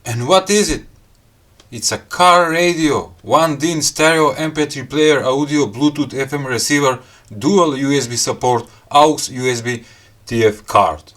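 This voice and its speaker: A man reviewing a gadget in a casual unboxing style, talking in halting, piecemeal phrases and muttering to himself. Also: mid-range voice, a bit bright. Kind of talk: casual unboxing and product explanation.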